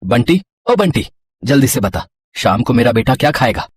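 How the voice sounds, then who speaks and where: A man playing a caring village farmer, husband and father, with a husky voice in a cartoon story. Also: mid-range voice, sing-song, normal speed, a little hiss or hum, lightly acted. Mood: cheerful, eager.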